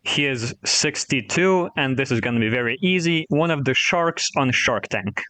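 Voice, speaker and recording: Clear-voiced man reading out quiz questions. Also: medium pitch, lively intonation, moderate pace, bright, forceful tone, no room sound. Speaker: guest quizmaster on a trivia podcast. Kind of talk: casual quiz hosting.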